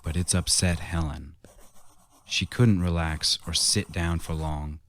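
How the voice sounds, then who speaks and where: A man's voice: deep voice, steady tone, a little slow, fairly bright tone. A man playing an uneasy husband in a radio horror drama, reassuring others while sounding unsettled himself. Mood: uneasy, confiding. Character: uneasy husband on a trip, keeping a diary. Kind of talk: radio horror drama acting with diary narration.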